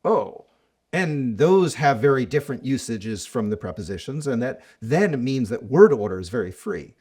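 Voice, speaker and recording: A man's voice: medium pitch, darkish tone, breathy edge. A middle-aged man, a mathematician being interviewed, explaining ideas thoughtfully with frequent restarts and examples. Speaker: mathematician guest. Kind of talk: academic podcast interview.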